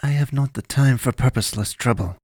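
A man's voice: mid-range voice, fairly quick, a little mellow, husky, no room sound, studio quality, voice pushed up, natural voice. A man voicing a cold, stiffly formal alien woman disguised as a pastor, speaking in clipped, precise phrases.

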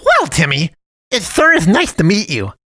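Loud, squeaky, folksy storybook cat man, purring and sweetly cajoling, dry close sound. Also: wildly swooping pitch, moderate pace, no room sound, heavily acted. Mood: friendly, jolly. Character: jolly chubby male cat man of the dump.

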